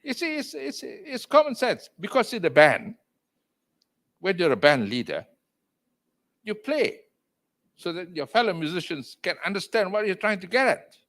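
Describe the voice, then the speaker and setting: An older man with a Malaysian accent talking as an interview guest, loud and emphatic, dropping in Malay words and leaping to high exclamations. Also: sing-song, moderate pace, pauses often. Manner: mixes in Malay words and phrases. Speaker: entrepreneur and executive chairman, interview guest. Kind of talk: interview over video call.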